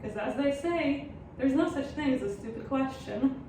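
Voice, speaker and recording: A woman in her thirties, a former postdoc, co-hosting a science lesson with dry, deadpan humor. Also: sits high, normal speed, noisy background. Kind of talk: casual educational chat. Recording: echoey room.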